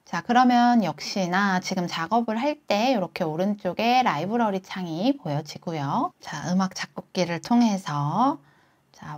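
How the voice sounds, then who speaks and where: Woman explaining software step by step. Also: mid-range voice. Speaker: tutorial host. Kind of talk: software tutorial, screen walkthrough.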